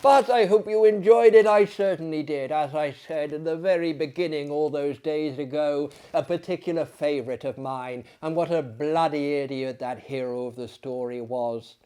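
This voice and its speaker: A man's voice: a little high, normal speed, few pauses, dark tone, clear, resonant tone. A man telling a classic story as a dramatic reader, with hammy, pompous delivery and heavy emphasis. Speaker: storyteller, host of a story reading series.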